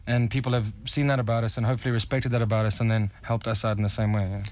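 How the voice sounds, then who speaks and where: A man's voice: medium pitch, little pitch movement, very fast, darkish tone. A young South African man in a rock band, talking earnestly in a radio interview and stumbling over restarts.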